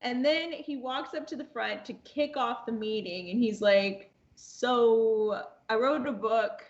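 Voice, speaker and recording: A woman's voice: on the high side, slow, echoey room, dull audio. A woman in her twenties telling a casual anecdote as a podcast guest, acting out quoted dialogue and repeating phrases as she goes. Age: 20s. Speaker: podcast guest, amateur voice actress.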